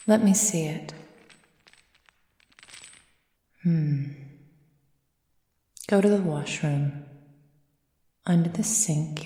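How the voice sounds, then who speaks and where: Female vampire mistress, played by a woman, ASMR roleplay: very low, unhurried, possessive and condescending, half-whispered, in a reverberant room. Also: mellow. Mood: stern tending. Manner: silky, measured, formal, often dropping into breathy whispers. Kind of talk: slow, intimate ASMR roleplay. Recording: echoey room with long reverb.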